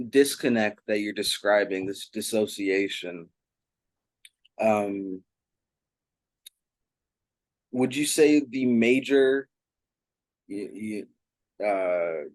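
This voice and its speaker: A man's voice: lowish voice, no room sound. A man conducting a thoughtful interview, feeling out his questions carefully and with some hesitation.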